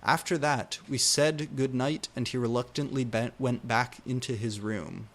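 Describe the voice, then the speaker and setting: A man giving a comedic dramatic reading of fan fiction, deadpan and theatrical with drawn-out emphasis. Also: mid-range voice. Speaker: fanfiction reader.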